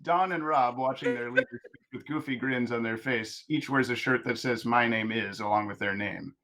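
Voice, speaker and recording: A man's voice: mid-range voice, normal speed, fairly bright tone. Man narrating a cartoon script table read. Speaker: narrator reading stage directions.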